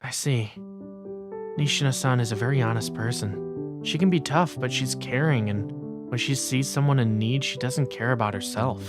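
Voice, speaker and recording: A man's voice: medium pitch, moderate pace, natural voice. A man voicing an introverted, resigned teenage schoolboy in an anime-style dub, mild and hesitant. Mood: reflective. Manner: mild, hesitant, stammers when flustered. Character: introverted teenage schoolboy narrator, secretly capable, meek and resigned. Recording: background music under voice.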